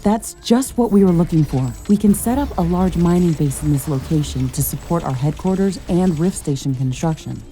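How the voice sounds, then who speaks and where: A woman's voice: fairly low pitch, lively intonation, normal speed, hardly any pauses, mellow, faint music behind, natural voice. A woman playing a composed, capable scientist-commando in a video game, with an earnest, scripted delivery. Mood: purposeful.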